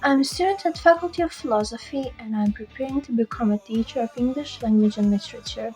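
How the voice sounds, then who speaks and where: Calm young woman, mellow voice. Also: fairly high pitch, fast talker, faint music behind, poor audio. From Zenica, Bosnia and Herzegovina. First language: Bosnian. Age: 20s. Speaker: student training as an English teacher. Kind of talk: reflective video monologue.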